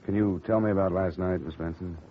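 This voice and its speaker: A man playing a fast-talking, wisecracking radio private eye. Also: sits low, steady tone, a little hiss or hum, lightly acted. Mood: dry. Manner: dry, skeptical and quick, narrating his expense report. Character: cool wisecracking male freelance insurance investigator. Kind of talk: 1950s radio drama acting and narration. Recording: dull lo-fi 1950 radio broadcast.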